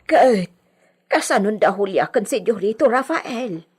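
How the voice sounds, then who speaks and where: Very raspy, excitable Ilocano village woman played by an actress. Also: medium pitch, measured pace. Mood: shocked. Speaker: radio drama actor. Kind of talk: Ilocano comedy radio drama acting.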